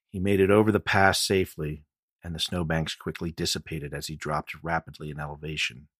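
A man with a husky voice reading scripted audiobook narration as the author of the story. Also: very deep voice, a bit bright, dry recording, clean studio sound.

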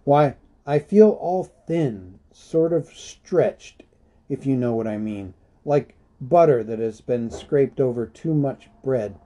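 A man's voice: medium pitch, animated, slow pace, dark tone, highs cut off. A man voicing an elderly hobbit in a storybook reading, fretful, stubborn and possessive, with a querulous, insistent manner. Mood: weary, unsettled.

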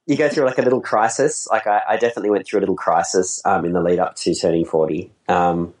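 Low-voiced man in his forties, rambling. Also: fairly even intonation, fast talker, darkish tone, low-quality recording. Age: 40s. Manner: rambling, self-deprecating, laughs easily. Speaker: wedding photographer guest. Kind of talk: casual conversation.

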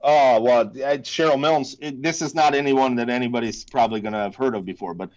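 A man's voice: mid-range voice, fairly quick, a little mellow, highs cut off. A man in his thirties, a podcast guest chatting casually and laughing at himself. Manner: chatty, self-deprecating, laughs often. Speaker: podcast guest, case company founder.

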